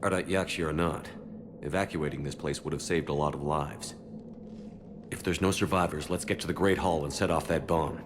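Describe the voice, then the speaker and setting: An actor playing a firm, persuasive military commander in a video game. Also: male voice, a bass voice, steady tone, normal speed, noisy background. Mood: grave, focused.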